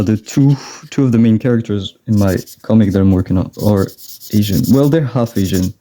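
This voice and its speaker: A man's voice: medium pitch, warm tone. A young man from France, chatting in a rambling way and laughing often while he draws for a vlog. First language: French. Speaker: drawing vlogger. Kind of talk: casual rambling chat while drawing.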